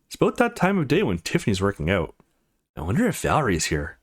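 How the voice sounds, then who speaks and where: A man's voice: fairly low pitch, wildly swooping pitch, fast talker. A man voicing the self-assured male protagonist of a visual novel, reading his thoughts and lines casually and expressively. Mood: relieved, musing. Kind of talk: reading game narration and dialogue.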